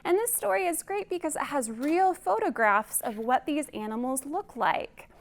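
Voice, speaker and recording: A woman hosting a children's storytime, reading aloud in a deliberate, singsong way and putting questions to young listeners. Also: sits high, lively intonation. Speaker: children's librarian, storytime host.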